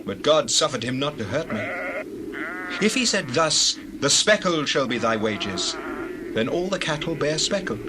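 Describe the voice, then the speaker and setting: An actor playing an earnest, righteous shepherd in a dramatized Bible reading, with declamatory delivery. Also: male voice, a little high, big pitch swings, normal speed. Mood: aggrieved, testifying. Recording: noisy scene with sheep and bird effects.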